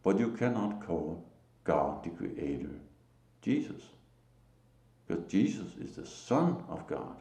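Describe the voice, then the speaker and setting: Slow, gravelly Danish-accented man preaching. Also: deep voice, very animated, dark tone, reverberant. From Denmark. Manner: slow, halting, emphatic, with short laughs. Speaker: lay preacher. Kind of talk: solo religious talk to camera.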